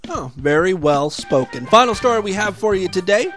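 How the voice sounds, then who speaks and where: A man hosting a comic podcast, mocking the news in a crude, joking way. His voice sits a little high for a man. Faint music plays under him. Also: expressive, normal speed. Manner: crude, mocking, laughs at own jokes. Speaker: podcast host. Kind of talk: comedic news and voicemail show.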